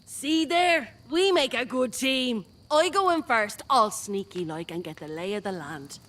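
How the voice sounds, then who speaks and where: A woman's voice: a little high, lively intonation, measured pace, a bit bright. A woman playing a cocky, roguish scout out for profit in a video game, talking in a sly, breezy, slangy way. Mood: sly, pleased. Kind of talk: video game character dialogue.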